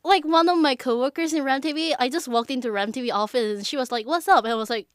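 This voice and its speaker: A young woman studio guest, a student, asking questions hesitantly and filling pauses with "like", at a desk mic in a small studio. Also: high voice, clean tone, no echo. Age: young adult. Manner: hesitant, many "like" fillers. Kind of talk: casual chat. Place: small campus radio studio. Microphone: desk mic on a stand.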